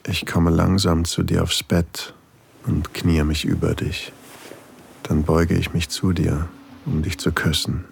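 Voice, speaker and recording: A very low, creaky male voice playing a flirtatious single father in an erotic audio drama. He is intimate and teasing with a touch of hesitation, over a faint hiss. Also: level tone, slow, exaggerated voice. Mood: seductive, intimate. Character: flirtatious single father narrating his inner thoughts.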